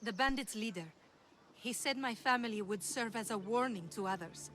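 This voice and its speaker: A woman's voice: a little high, normal speed, fairly bright tone, faint music behind, close to an everyday voice. A woman playing an earnest, troubled townswoman in a video game, with an emotional delivery. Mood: distressed. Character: earnest, troubled Greek townswoman pleading for help. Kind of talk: video game cutscene acting.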